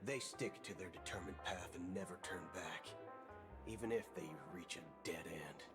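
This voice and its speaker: An actor playing a shrewd, talkative space ranger in a video game, with a folksy, wry, conversational manner. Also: male voice, low-pitched, normal speed, lightly acted. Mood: cynical. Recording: loud music behind.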